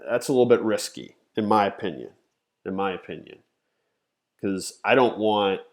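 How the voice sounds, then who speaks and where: Congested man teaching in a low voice. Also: a little slow. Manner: conversational, thinks aloud, frequent "okay?" and "you know". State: congested, nasal. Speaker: trading educator, live stream host. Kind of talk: casual live-stream teaching.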